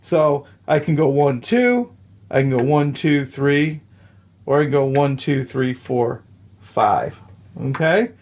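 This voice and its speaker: A man's voice: medium pitch, animated, slow pace, darkish tone. A man explaining the rules of a board game step by step, counting moves aloud and checking in with the listener.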